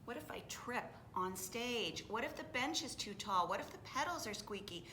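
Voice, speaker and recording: A woman's voice: on the high side, lively intonation, normal speed, echoey room, background noise, voice pushed up, close to an everyday voice. A woman voicing an anxious young storybook girl who asks worried questions. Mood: rising panic.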